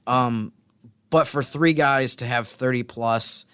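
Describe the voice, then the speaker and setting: A man's voice: medium pitch, slow, a bit bright, clear, resonant tone, no echo. A man co-hosting a sports podcast, talking basketball casually like a team reporter.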